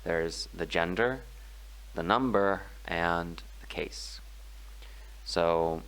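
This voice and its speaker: Slow, deep-voiced man teaching grammar. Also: a bit bright, low-quality recording. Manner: slow, measured explaining with frequent ums and pauses. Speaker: language tutor. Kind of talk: screen-recorded grammar tutorial.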